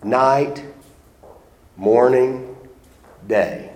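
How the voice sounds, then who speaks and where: A man's voice: mid-range voice, very slow, darkish tone, echoey room. A congested man with the flu teaching a sermon and reading scripture aloud in old-fashioned wording. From United States. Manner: reads scripture aloud in King James wording. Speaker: Bible teacher.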